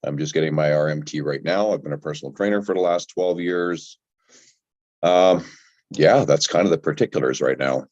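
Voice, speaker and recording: A man's voice: rumbling low, normal speed, a little mellow, no room sound. A man introducing himself casually in short, understated sentences. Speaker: personal trainer, newcomer to acting. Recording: dull, lo-fi microphone sound.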